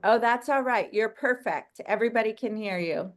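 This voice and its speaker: A woman hosts a casual video call. She has a loud voice and an effusive manner, often trailing off and restarting her sentences. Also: on the high side, normal speed. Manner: effusive, hesitant, with many restarts. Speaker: host. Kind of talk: casual hosting chat.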